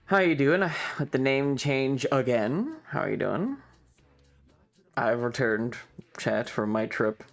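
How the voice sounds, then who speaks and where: A man's voice: medium pitch, sing-song, slow pace, creaky. A man hosting a casual livestream, chatting with viewers in a playful, rambling, slightly distracted way. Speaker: live streamer and host.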